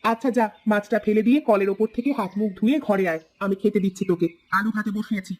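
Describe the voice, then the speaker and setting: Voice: mid-range voice, fairly even intonation, fast talker, lightly acted, low-quality recording. A performer playing an old mother in a cartoon, nagging her grown son in an insistent, coaxing, complaining way. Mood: caring. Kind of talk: cartoon voice acting. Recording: music bed behind.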